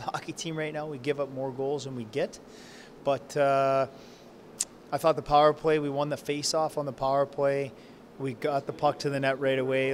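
Flat-voiced coach, halting press answers. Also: male voice, mid-range voice, a little hiss or hum. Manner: halting, many fillers and restarts, subdued. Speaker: head coach. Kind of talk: post-game press interview. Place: press room backdrop. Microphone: handheld reporter mics held close.

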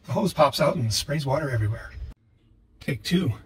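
Measured older man explaining bus plumbing. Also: mid-range voice, lively intonation, slight background noise. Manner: measured, matter-of-fact explaining. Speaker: vlogger. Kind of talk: calm how-to narration.

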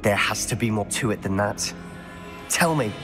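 A man's voice: on the low side, lively intonation, moderate pace, over music, close to an everyday voice. A man voicing a determined, loyal teenage wizard schoolboy with a British accent in a video game, earnest and well-spoken. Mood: suspicious.

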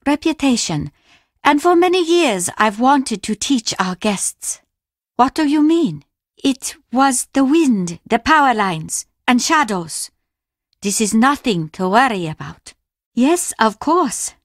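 A woman playing a grieving older Japanese innkeeper mother in a video game, reserved and stern, speaking in a measured, formal way. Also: a little high, dry recording, studio quality, heavily acted. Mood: guarded. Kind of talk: video game character acting.

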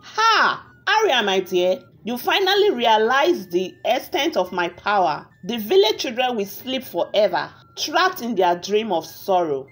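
A woman's voice: mid-range voice, sing-song, measured pace, hardly any pauses, faint music behind. A woman voicing a wicked, vengeful village witch from a storybook, in a boastful, mocking manner. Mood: mocking, gloating. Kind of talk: amateur storybook character voice.

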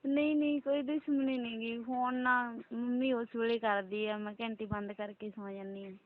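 High-voiced evasive young daughter-in-law on a phone acted by a woman. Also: little pitch movement, normal speed, natural voice. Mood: placating, evasive. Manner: polite, placating, short hesitant replies. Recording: tinny narrow-band phone call.

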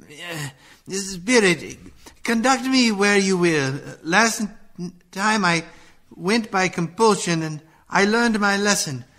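An actor playing an anxious, humbled old miser who speaks hesitantly in a radio play. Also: male voice, high-pitched, expressive, slow, sharp tone, heavily acted. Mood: humble. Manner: hesitant, stammering.

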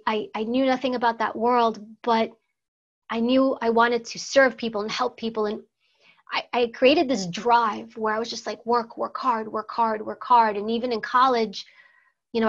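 A woman's voice: on the high side, fairly even intonation, moderate pace, a bit bright. A woman, telling her life story earnestly in an interview and repeating words for emphasis. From United States. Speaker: guest, former secret service agent. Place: plain studio backdrop. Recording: slightly dull lo-fi sound.

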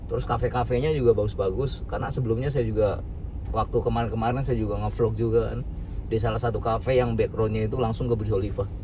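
Male vlogger, chatty, rambling, off-mic on a loud city street with traffic behind. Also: medium pitch. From Indonesia. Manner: chatty, rambling, repeats tag questions. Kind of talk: casual walking vlog. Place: busy city street outdoors. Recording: distant outdoor street recording.

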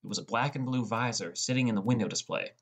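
A man's voice: medium pitch, quick pace, breathy edge. A man reading scripted first-person story narration as an audiobook narrator.

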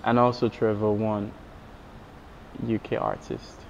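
A man with a fairly low voice reads out interview answers at a slow, measured pace. He delivers them as an interpreter would, statement by statement. The sound is somewhat dull. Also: very animated, creaky. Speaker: interpreter voicing a deaf artist's signed answers. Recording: dull, noisy recording.

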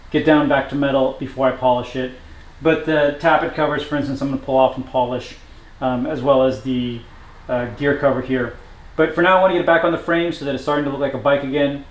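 A man working on a motorcycle in his home garage, talking through the job in a relaxed, matter-of-fact way with frequent pauses. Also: medium pitch. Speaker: motorcycle builder vlogger. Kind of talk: casual workshop narration. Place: hot home garage with a fan running. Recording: echoey garage, faint fan noise. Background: fan noise.

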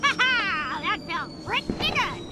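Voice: very high-pitched, sing-song, takes it slowly, very noisy, heavily acted. A performer voicing a vain, vindictive little cartoon mascot in a horror game, whiny and bratty. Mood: spiteful glee. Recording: echoey, distant, loud music behind.

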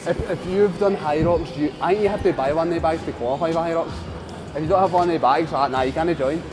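A man's voice: a little high, fast. A young man in his twenties chatting bluntly and jokingly while training, swearing freely as a fitness vlogger in a large gym. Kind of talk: casual gym banter. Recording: music playing under the talk.

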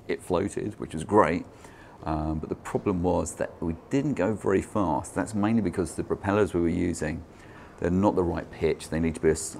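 A man's voice: sits low, vocal fry, slight background noise. A man in his forties casually walking through a build in his indoor workshop garage, explaining step by step with fillers. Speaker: maker presenting his build.

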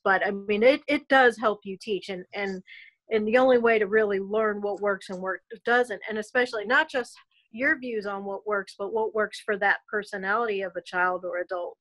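A woman's voice: medium pitch, fairly bright tone, low-quality recording. A woman who runs a music school, explaining her approach on a video call panel in an earnest, explanatory way with some restarts. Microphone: video call laptop mic.

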